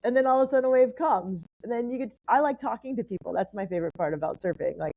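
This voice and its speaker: A woman's voice: medium pitch, animated, fast, vocal fry, dark tone, dull audio. A woman from San Diego, a podcast guest, telling long personal stories in a chatty, rambling way with plenty of "like" fillers. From San Diego, California. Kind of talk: casual conversational storytelling.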